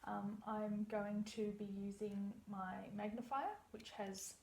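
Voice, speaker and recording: Gravelly-voiced woman practitioner. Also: mid-range voice, level tone, slow, darkish tone. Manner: explains step by step, reassuring. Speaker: iridology practitioner. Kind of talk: practice consultation. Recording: echoey room, faint background hum.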